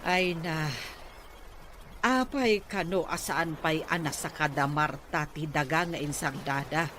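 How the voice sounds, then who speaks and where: A woman's voice: low voice, measured pace, a bit bright. A female voice with a Filipino accent over faint background noise. A woman acting as an opinionated village neighbour discussing other people's business in a radio drama.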